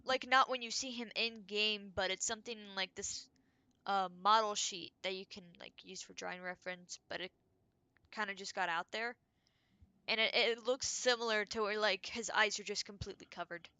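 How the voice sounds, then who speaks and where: A woman's voice: mid-range voice, steady tone, normal speed, bright, forceful tone, clean tone, dry recording. A woman giving casual live gameplay commentary, musing and thinking aloud. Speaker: game streamer. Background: game music.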